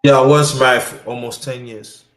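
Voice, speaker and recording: A man's voice: mid-range voice, level tone, normal speed. Outgoing man hosting a live chat. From New York. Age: 50s. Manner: outgoing, gives loud shout-outs. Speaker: livestream host. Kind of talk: casual live-stream chat.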